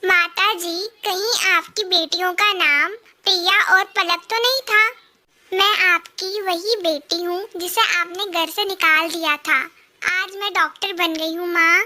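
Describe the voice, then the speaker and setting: A woman playing a gentle, reassuring young female doctor in a children's cartoon. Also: very high voice, fairly even intonation, a little slow, full character voice. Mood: earnest.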